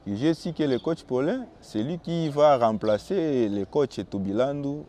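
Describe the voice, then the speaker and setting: A young man from Bukavu with a Congolese French accent, Swahili his first language, calmly answering interview questions as a football goalkeeper. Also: medium pitch, a little hiss or hum. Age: young adult. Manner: calm and measured, with small restarts.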